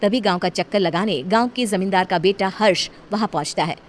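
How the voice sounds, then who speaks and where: A woman storyteller reading scripted narration for an animated tale. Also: medium pitch, brisk, a little hiss or hum. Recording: music behind the voice.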